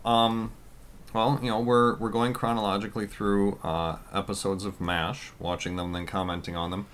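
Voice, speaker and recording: Loud deep-voiced man rambling on a podcast. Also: flat delivery, moderate pace, a little hiss or hum. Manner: loud, rambling with many fillers. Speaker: podcast co-host. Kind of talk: casual podcast chat.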